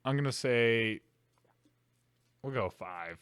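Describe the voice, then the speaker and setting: A man's voice: mid-range voice, animated, slow, a bit bright, smooth, no echo. A young man co-hosting a podcast in casual chat, loose and joking, cursing and acting out quoted lines. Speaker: podcast co-host.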